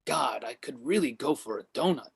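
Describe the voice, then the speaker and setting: A man's voice: mid-range voice, animated, a little fast, creaky, slightly rough, heavily acted. A man reading the part of a grumpy, skeptical older brother, contrarian and bossy, in a comedy table read. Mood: bossy, sulky.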